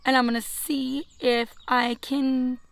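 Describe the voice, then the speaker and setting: Hoarse, chatty woman gardening outdoors. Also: high-pitched, level tone, takes it slowly, dry recording. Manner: chatty, practical, self-deprecating. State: hoarse, scratchy voice. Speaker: homesteading vlogger. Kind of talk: casual how-to chat. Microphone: wireless earbud mic.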